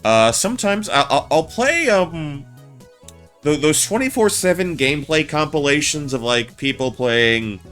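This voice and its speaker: A man streaming a game, chatting casually in a naturally low voice, often stammering and restarting his sentences. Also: animated, measured pace, fairly bright tone. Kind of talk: casual let's-play commentary. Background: game music.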